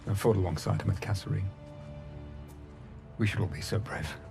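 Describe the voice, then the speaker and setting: Grim soldier in a war game, lowish gravelly voice, loud music behind. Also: male voice, steady tone, normal speed. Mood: grim, resolved. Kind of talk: cinematic war game acting. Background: music and vehicle sound.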